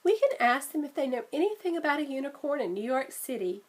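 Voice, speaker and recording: An eager, bold little storybook girl, voiced by a woman reader. She pitches high and swoops upward as she exclaims and urges. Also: very animated, quick pace. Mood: eager, excited. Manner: bright exclamations, urging.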